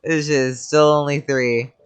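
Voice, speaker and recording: A woman's voice: low-pitched, steady tone, measured pace, clear, resonant tone. A woman giving casual, sweary reaction commentary while playing a video game. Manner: informal, sweary, reacts aloud. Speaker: game streamer. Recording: game music behind the voice.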